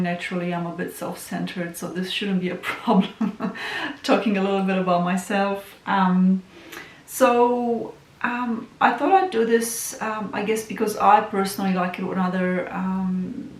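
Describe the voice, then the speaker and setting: A woman from Romania with a Romanian accent, a tarot reader introducing herself in a casual, soft, musing way with lots of ums. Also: fairly low pitch. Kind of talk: casual self-introduction vlog. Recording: echoey room with faint hiss.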